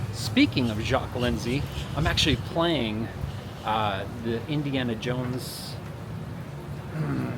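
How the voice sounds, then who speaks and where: A man with a hoarse, worn-out voice rambles through a casual vlog, filling his sentences with ums and restarts. His pitch sits in the middle range. The recording carries a clear layer of background noise. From Colorado. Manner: rambling, with many fillers and restarts. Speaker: vlogger. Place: outdoors at a shopping district in light rain. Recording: noisy outdoor recording.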